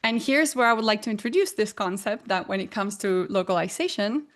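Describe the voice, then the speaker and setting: A woman's voice: mid-range voice, animated, brisk. Argentine-accented woman presenting a lesson. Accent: Argentine Spanish. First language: Spanish. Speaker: guest presenter. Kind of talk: presenting a talk.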